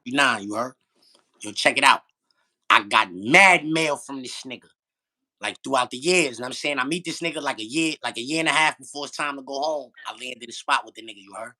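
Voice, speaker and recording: A man's voice: medium pitch, brisk. A middle-aged man telling stories in casual, profane street slang, emphatic and repeating himself for effect. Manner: animated, emphatic, repetitive, profane.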